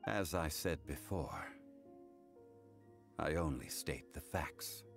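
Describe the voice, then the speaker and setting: A man playing a calm, grave consultant in a video game, speaking formally and with solemn measure. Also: rumbling low, slow, vocal fry, faint music behind, heavily acted. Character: calm, grave, worldly male consultant recounting hard truths. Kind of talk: English video game voice acting.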